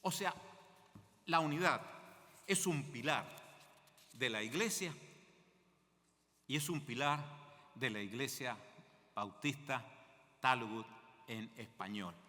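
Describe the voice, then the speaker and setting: A man's voice: medium pitch, slow, long pauses, bright, forceful tone, in a large hall. An older man from Mendoza with an Argentine accent, preaching a sermon as a guest speaker in a conversational way, often asking the congregation questions.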